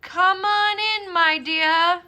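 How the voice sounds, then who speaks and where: Lady doing a sweet old granny from a fairy tale, high pitched, slow and welcoming. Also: fairly even intonation, sharp tone, soft background music, exaggerated voice. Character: kindly, welcoming old grandmother, ill in bed.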